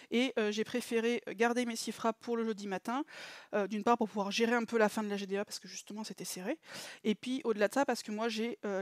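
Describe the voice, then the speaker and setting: A woman hosting a casual gameplay debrief for her viewers, talking in a chatty, hesitant way with lots of filler pauses. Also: medium pitch, no room sound. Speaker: mobile game channel host.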